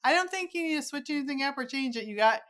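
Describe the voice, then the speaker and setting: A woman's voice: high-pitched, animated, quick pace, a bit bright. A woman hosting a casual interview, effusive and encouraging toward her guest. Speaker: podcast host.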